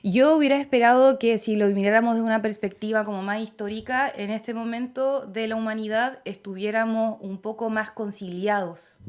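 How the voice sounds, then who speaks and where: A young woman with a Chilean accent speaks as an interview guest. She is thoughtful and measured, often hesitating with soft fillers while she gathers her ideas. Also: on the high side, steady tone, smooth. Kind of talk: reflective conversational interview.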